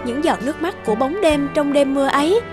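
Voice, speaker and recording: A woman narrates a fairy tale in a high voice. Her scripted storytelling sits over clear background music. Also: lively intonation, quick pace. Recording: music behind the voice.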